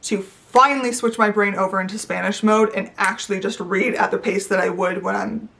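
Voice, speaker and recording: A woman's voice: mid-range voice, fairly even intonation, fairly quick, creaky, sharp tone, slight background noise. A young woman talking to her viewers in an unscripted, rambling vlog chat with playful asides. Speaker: YouTuber. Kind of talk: unscripted casual chat to camera.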